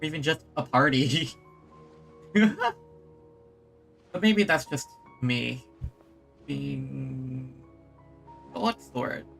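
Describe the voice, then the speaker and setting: A woman's voice: a bass voice, very slow, vocal fry, long pauses, faint music behind. A woman streaming a game with casual, chatty commentary, reacting aloud and reading text out.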